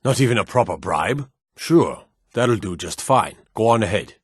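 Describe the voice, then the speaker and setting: A man voicing a stern, dutiful fantasy city guard in a video game, speaking in a gruff, formal, clipped way. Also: fairly low pitch, lively intonation, normal speed, no room sound, studio quality. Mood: stern, sardonic. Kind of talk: scripted game character dialogue.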